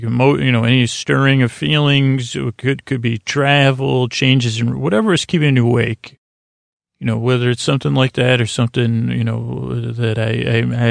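A man speaks in a gravelly, creaking voice that stays very flat in pitch. He hosts a sleep podcast, rambling soothingly and losing his thread mid-sentence. The sound is close and dry. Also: dry recording. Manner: lulling, soothing delivery; meandering, halting, trailing off mid-thought. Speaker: podcast host.

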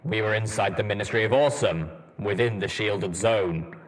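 Brief: man playing a steady armored veteran, low voice, measured and firm. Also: lightly acted. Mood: calm. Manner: level, commanding, measured. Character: calm, stern armored male pony veteran.

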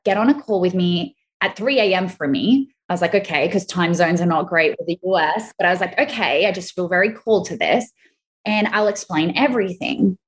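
A woman with an Australian accent, a guest telling her story in an enthusiastic, earnest way. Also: on the low side. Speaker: guest, crypto educator. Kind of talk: casual interview chat.

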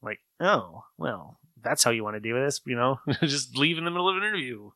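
A man's voice: mid-range voice, expressive, a little fast, pushed and bright, dry recording. A man hosting a podcast, chatting casually with friends. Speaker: podcast host. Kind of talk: casual group chat.

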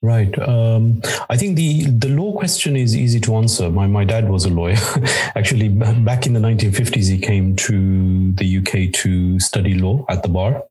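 A very deep, husky male voice from a middle-aged academic raised in Kenya. He answers at a measured pace, often pausing and restarting as he works out his thoughts. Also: darkish tone. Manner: hesitant, many restarts and fillers. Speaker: law academic, interview guest.

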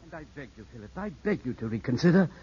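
An actor playing a cautious older family friend in a 1950s radio drama, husky, formal and avuncular. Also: male voice, on the high side, big pitch swings, fast, over loud music. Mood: grave, disapproving. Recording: dull 1950s radio broadcast.

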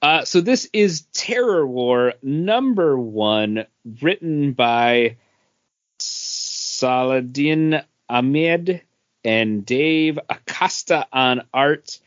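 Slow-paced man with lively, swinging pitch. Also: no echo, muffled recording. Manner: halting, with pauses mid-sentence. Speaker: podcast host. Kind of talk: casual comic book review chat.

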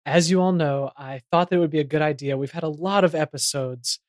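A man playing an excitable, rambling small-town mayor who hosts a comedy podcast. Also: fairly high pitch, fairly quick, no room sound, clean studio sound, lightly acted. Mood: excited, rambling. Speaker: podcast host. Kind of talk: comedy audio drama podcast hosting.

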